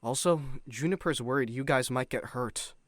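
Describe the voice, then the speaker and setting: A man's voice: medium pitch, moderate pace, fairly bright tone, no echo, close to an everyday voice. A man playing an easygoing, candid spaceship crewmate in an audio drama, casual and reassuring. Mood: tactful.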